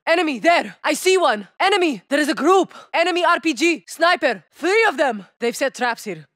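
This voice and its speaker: A woman's voice: high voice, expressive, moderate pace, fairly bright tone, no echo, studio quality. A woman voicing a tough young female soldier in a shooter game, giving clipped callouts in with a tinge. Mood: alert. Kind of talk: game voice lines.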